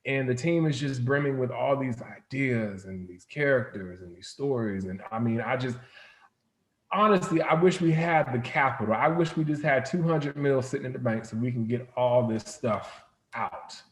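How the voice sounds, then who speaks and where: An echoey recording of a man speaking as a guest in a casual interview. His voice sits at an ordinary middle pitch. He tells things loosely and informally, wandering through his account. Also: normal speed. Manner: informal, rambling storytelling with frequent "like". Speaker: interview guest, comics company founder.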